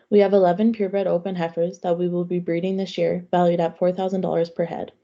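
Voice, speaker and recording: A young woman from Saskatchewan reads out figures in a measured student presentation. Her voice is low, dark and gravelly. The sound is dull and lo-fi. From Saskatchewan, Canada. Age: young adult. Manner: measured, lists values plainly. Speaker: student records coordinator. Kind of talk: prepared class presentation. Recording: dull lo-fi recording.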